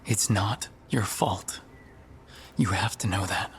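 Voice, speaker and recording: An actor playing a calm, supportive male adventurer in a video game, with a gentle, steady, sincere delivery. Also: on the low side, takes it slowly, slight background noise. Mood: gentle, consoling. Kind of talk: video game dramatic voice acting.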